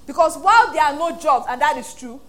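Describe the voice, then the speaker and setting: A Nigerian woman pastor preaching an animated sermon, acting out voices and laughing often. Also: high voice, fairly quick.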